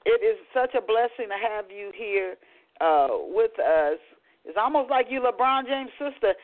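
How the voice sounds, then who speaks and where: A woman hosting book talk radio comes through a tinny, phone-like line. She talks in a chatty, animated way and often stumbles into restarts. Her delivery is upbeat and informal. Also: a little high, moderate pace. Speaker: radio show host.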